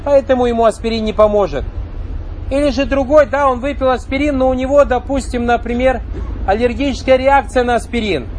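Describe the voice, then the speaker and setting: A man with a fairly high voice teaches a religious lesson in a calm, measured way. He explains each point patiently, pauses for rhetorical questions to his listeners, and recites short phrases. A faint hum of noise sits behind him. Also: low-quality recording. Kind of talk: religious lecture.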